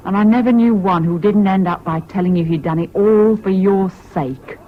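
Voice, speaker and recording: A woman's voice: mid-range voice, moderate pace, lightly acted. Actress as scornful British woman, sardonic, world-weary, mid-range voice, radio play, muffled noisy old recording. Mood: bitter. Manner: cutting, sardonic. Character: bitter, scornful, world-weary wartime woman, fiercely independent. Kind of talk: 1970s British radio drama acting.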